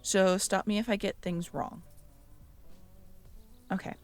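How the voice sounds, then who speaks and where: A woman's voice: medium pitch, fairly even intonation, slow pace, faint music behind. A woman in an intimate audio roleplay, musing aloud as she reads through notes and checks back with the listener.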